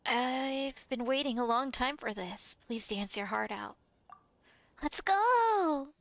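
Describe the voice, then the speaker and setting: A woman's voice: high voice, animated, slow pace, a bit bright. A woman on a live game stream, casually reading dialogue aloud and reacting to the story.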